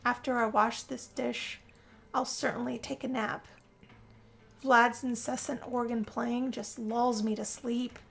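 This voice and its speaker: A woman's voice: on the high side, flat delivery, unhurried, vocal fry, a bit bright. A woman voicing a moody, weary young woman in a first-person dramatic role-play, with a sighing, confessional delivery. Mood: weary, queasy. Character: young woman Yazmin, moody, weary, being turned vampire. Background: guitar music.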